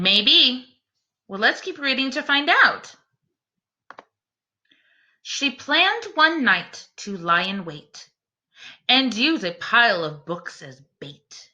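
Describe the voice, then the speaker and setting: Woman, children's storytime teacher: bright, clear-cut delivery, unhurried and expressive, speaking straight to young listeners. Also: medium pitch. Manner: expressive, deliberate, addressing young listeners directly. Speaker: teacher reading a picture book aloud to children. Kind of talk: animated children's read-aloud with questions to listeners.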